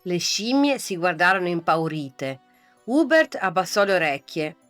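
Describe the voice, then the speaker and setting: Woman narrator, bedtime fairy tale, low soothing voice, soft music behind. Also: sing-song, unhurried. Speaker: storyteller. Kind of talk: scripted bedtime story narration.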